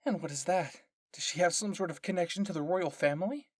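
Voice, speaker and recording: A man's voice: high-pitched, a little fast, no room sound, studio quality, pitched above the performer's own voice. A man playing an inquisitive, analytical woman military officer in an audio story, pondering and articulate. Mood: probing.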